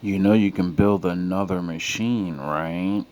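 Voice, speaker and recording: A man's voice: low-pitched, measured pace, a little mellow. A man giving a casual, reflective monologue in a musing, philosophical way, often letting thoughts trail off. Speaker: commentator reacting to film villain quotes. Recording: clear background noise.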